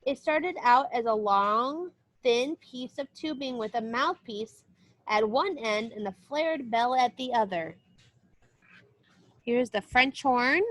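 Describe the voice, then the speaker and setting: A woman with a somewhat high-pitched voice reads aloud in the role of a music teacher. She speaks in an explanatory way aimed at young listeners, moving between the book's text and short asides of her own. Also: animated, slow pace, a bit bright, no echo, rough recording. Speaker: music teacher reading a children's book aloud. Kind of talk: read-aloud lesson with short spoken asides.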